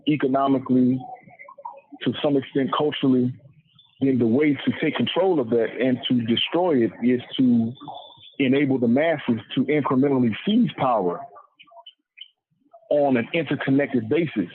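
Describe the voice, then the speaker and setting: A man speaking off the cuff as a political lecturer, explaining in a didactic way and repeating listed phrases for emphasis. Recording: muffled low-fidelity radio-like audio.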